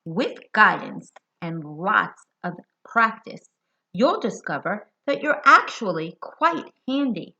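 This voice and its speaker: Slow, bright-voiced American woman reading to children. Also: mid-range voice. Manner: expressive, deliberate, addresses young listeners with questions. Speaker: children's hospital educator reading a picture book. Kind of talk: children's read-aloud storytelling. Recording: dull lo-fi sound.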